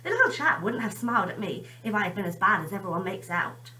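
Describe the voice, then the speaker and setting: A lonely, crotchety old storybook man, played by a woman, musing to himself. The voice is bright and not deep, with very animated intonation. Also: fast, low-quality recording. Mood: musing, hopeful.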